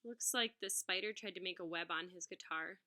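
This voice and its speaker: Bright-voiced woman reading to children. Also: fairly low pitch, normal speed. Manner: expressive, explanatory, addressing young children. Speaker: music teacher reading aloud to pupils. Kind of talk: children's storybook read-aloud with asides.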